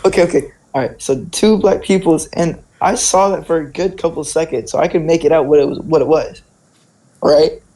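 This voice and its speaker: A man's voice: on the high side, a little fast, warm tone, low-quality recording. A young man casually telling stories in informal speech, often checking in with "right?" and "like". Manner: informal, peppers talk with "right?" and "like". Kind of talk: casual spoken storytelling.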